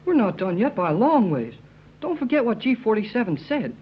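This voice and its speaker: An actor playing a plucky youngster with slangy, colloquial speech and a husky voice in an old-time radio serial. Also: medium pitch, very animated, fairly quick, a little hiss or hum, natural voice. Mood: excited, plucky. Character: spirited, slangy, plucky youngster named Jerry. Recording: muffled vintage radio recording.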